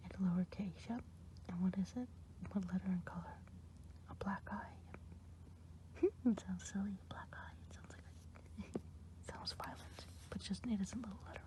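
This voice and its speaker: A woman's voice: medium pitch, normal speed, pauses often, lightly acted, rough recording. A woman whispering as a kindly good witch. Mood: gentle. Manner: soft whispering, patient and encouraging. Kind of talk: ASMR roleplay.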